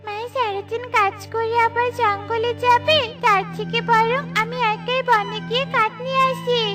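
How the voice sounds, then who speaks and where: Woman as little cartoon bird girl, extremely high, childlike, eager, sweet, music underneath. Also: fairly even intonation, normal speed. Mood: sweet, earnest. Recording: music behind the voice.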